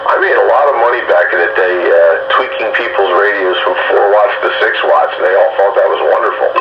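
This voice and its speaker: Roomy echo, heavy noise, older male, slightly low voice, casual chat. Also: brisk. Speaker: radio hobbyist on a group call. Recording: echoey, muffled, very noisy audio.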